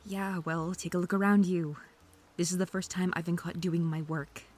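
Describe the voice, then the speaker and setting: A woman's voice: on the low side, steady tone, moderate pace, darkish tone, natural voice. A woman playing a snarky, defensive female hacker under interrogation in an audio drama, sarcastic and indignant. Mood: flustered, defensive.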